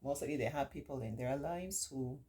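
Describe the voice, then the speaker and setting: A middle-aged woman hosting a children's storytime, reading aloud and adding reassuring, encouraging teaching asides with drawn-out emphasis. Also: very deep voice, warm tone, slight background noise. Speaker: children's storytime host and remedial tutor.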